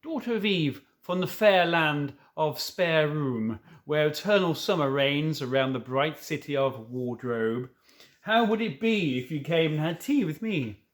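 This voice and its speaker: A man's voice: fairly high pitch, animated, measured pace. A man voicing a friendly, melancholy faun from a children's storybook, speaking in courteous, old-fashioned formal phrases. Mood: courteous, inviting. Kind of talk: children's storybook read aloud.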